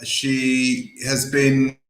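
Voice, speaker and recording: A man hosting a live call-in stream, speaking hesitantly with many pauses and "um"s. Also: mid-range voice, completely flat delivery, a bit bright, echoey room. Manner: hesitant, lots of "um" and restarts.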